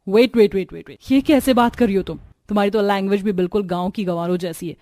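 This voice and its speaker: A woman's voice: mid-range voice, fast, dark tone, lightly acted. A woman voicing a snobbish, irritable city sister-in-law in a cartoon, scornful and mixing English into her Hindi.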